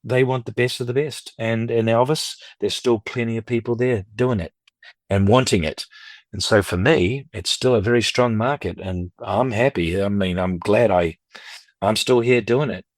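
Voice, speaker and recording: A man's voice: on the low side, moderate pace, dry recording. A man in his fifties, a record dealer and collector, chatting as an interview guest in a rambling, upbeat way. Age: 50s. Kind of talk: casual interview chat.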